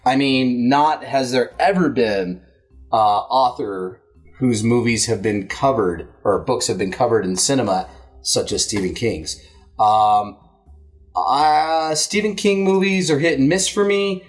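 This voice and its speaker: A man hosts a movie show with music playing under his voice. He talks in a casual, joking way, trading crude banter at an ordinary mid pitch. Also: a little slow. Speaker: host of a movie review show.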